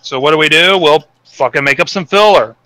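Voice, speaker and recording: Crisp, bright-voiced man explaining things. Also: a little high, a little fast, no echo, poor audio. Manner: explanatory, swears casually. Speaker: podcast guest. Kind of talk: casual opinionated discussion.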